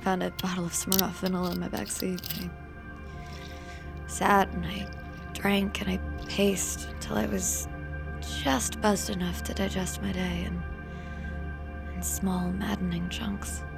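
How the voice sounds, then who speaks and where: Lost obsessive woman, fry-laden voice, halting confessional monologue, haunted, audio drama, music behind. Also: mid-range voice, little pitch movement. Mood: haunted, empty.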